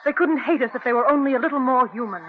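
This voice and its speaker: Actress as an anguished, high-voiced woman, old radio drama. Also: very fast, natural voice. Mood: pleading, distressed. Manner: pleading, emotional. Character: anguished, idealistic wife confessing her deed. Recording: muffled noisy old radio drama recording.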